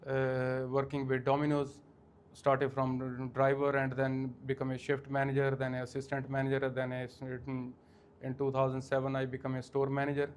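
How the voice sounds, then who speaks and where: Indian man, 40s, flat delivery, hesitant guest on an interview, faint noise. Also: medium pitch. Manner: halting, many fillers and restarts. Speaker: guest, franchise owner. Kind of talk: interview answers.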